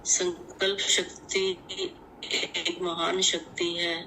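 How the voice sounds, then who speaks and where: A woman leads a guided meditation in measured, deliberate, instructive short phrases. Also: on the low side, little pitch movement, vocal fry, sharp tone, slight background noise, poor audio.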